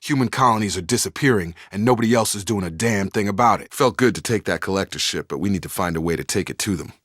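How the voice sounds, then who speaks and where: A steady, loyal soldier from a video game, with a low voice and a close, dry sound. He speaks plainly in clipped military phrases, a guarded realist who keeps to his principles. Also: fast, no echo. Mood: matter-of-fact, guarded. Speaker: video game squadmate and security officer.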